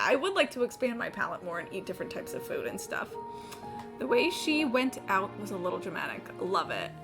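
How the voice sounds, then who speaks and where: Young gamer girl chatting on stream, sweary n rambly, bouncy pitch, music playing behind. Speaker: livestreamer. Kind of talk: casual gaming stream chat. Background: game music.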